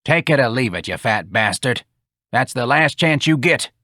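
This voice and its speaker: A man voicing an irate, blunt male hot dog vendor boss who shouts coarse insults in an audiobook. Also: mid-range voice, expressive, moderate pace, dry recording, studio quality, voice pushed up. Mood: gruff. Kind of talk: audiobook dialogue.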